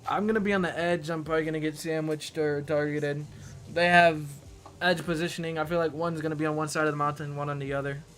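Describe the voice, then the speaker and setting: A man's voice: a little high, level tone, fast, fairly bright tone. A man doing live gaming commentary as a streamer, blunt and slangy, cursing casually and grumbling. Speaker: game streamer.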